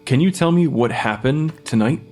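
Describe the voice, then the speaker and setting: An actor playing a dry, matter-of-fact forensic pathologist who questions the dead, in a naturalistic audio drama. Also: male voice, mid-range voice, lively intonation, normal speed, darkish tone, soft background music, close to an everyday voice. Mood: concerned, probing.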